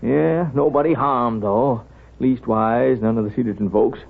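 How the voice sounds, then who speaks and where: An actor playing a wry, kindly small-town doctor with a folksy, unhurried manner in a 1930s radio drama. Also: male voice, mid-range voice, gravelly. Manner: folksy, wry, unhurried, hesitant drawn-out asides. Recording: thin, tinny 1930s radio recording.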